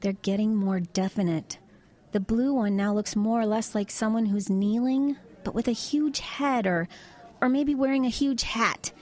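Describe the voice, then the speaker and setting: A woman's voice: a little high, a little slow, darkish tone, lightly acted. A woman playing a level-headed truck driver in an eerie audio drama, talking in an everyday conversational way. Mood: intrigued, thoughtful. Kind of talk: audio drama dialogue and narration.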